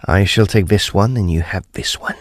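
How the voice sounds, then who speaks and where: A man's voice: low-pitched, moderate pace, a little hiss or hum, close to an everyday voice. An actor playing a grave, intrepid ghost hunter, narrating his own ghost story in a theatrical, suspenseful way. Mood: grave, purposeful. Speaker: actor narrating an audio drama. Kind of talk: dramatic first-person ghost story narration.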